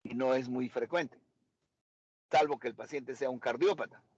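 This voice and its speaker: A man's voice: medium pitch, moderate pace, breathy edge, no room sound, lo-fi sound. A man teaching a medical seminar, questioning and quizzing his students. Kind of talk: interactive medical lecture.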